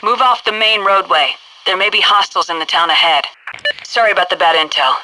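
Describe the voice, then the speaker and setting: An American woman playing a brisk military headquarters commander in a video game, giving crisp, authoritative mission instructions. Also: on the low side, bright, forceful tone, a little hiss or hum, rough recording. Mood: brisk, instructive. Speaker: mission control radio dispatcher and tutorial guide.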